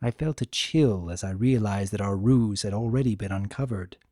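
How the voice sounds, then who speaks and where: A man giving a dramatic, deliberate reading of a scripted story as its narrator. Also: lowish voice, dry recording, clean studio sound. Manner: deliberate, expressive. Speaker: audiobook narrator.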